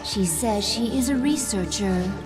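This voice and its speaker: A woman's voice: medium pitch, lightly acted. A woman voicing a dutiful alien advisor. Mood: measured. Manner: measured briefing delivery. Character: calm female alien advisor creature, dutiful. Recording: game cinematic with music.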